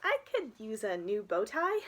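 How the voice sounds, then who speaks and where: A woman's voice: a little high, very animated, measured pace, fairly bright tone, heavily acted. A woman voicing an eager, imaginative little girl in a storybook read-aloud. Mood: cheerful.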